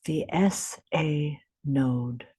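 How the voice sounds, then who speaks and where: A woman leads a guided meditation in a low, husky voice. She speaks very slowly and gently, leaving deliberate pauses between short instructional phrases. Her tone is soft, with breathy edges.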